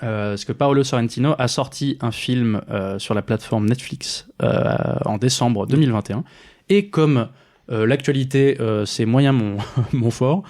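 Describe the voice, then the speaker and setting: A man's voice: mid-range voice, normal speed, darkish tone. A man co-hosting a casual film podcast, talking at length with many hesitations and restarts. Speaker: podcast co-host.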